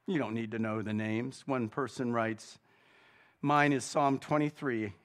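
A middle-aged man preaching a sermon, slow and deliberate, building to loud, fervent peaks before dropping back down. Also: mid-range voice, fairly even intonation. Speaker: pastor.

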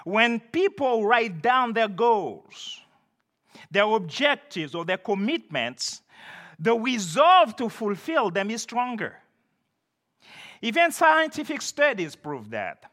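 Emphatic, lively-pitched preacher with French roots. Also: male voice. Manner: deliberate, emphatic preaching with occasional self-deprecating laughs. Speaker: pastor. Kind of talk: sermon.

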